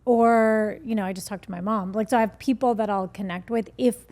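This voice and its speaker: A woman's voice: medium pitch, steady tone, brisk, dark tone. A woman, a skincare founder speaking candidly as a guest in a casual interview, with lots of fillers. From United States. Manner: candid, chatty, many fillers.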